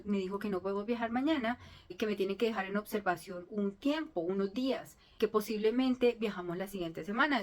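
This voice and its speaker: A middle-aged woman, a native Spanish speaker, telling a personal story in an earnest, emotional way, with frequent restarts. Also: mid-range voice. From Colombia. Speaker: vlogger telling her story.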